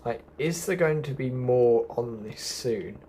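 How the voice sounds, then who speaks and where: A man's voice: mid-range voice, measured pace, dark tone, slight background noise. A man giving a casual, chatty gameplay walkthrough, explaining things directly to viewers as a video host.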